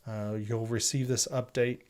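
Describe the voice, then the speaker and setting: A man's voice: medium pitch, level tone, moderate pace, a little mellow. A man co-hosting a tech news show, talking casually and unscripted as he explains things step by step.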